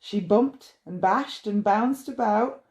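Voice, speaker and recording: A woman reading a rhyming bedtime story in a measured, expressive way. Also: mid-range voice. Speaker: storybook reader.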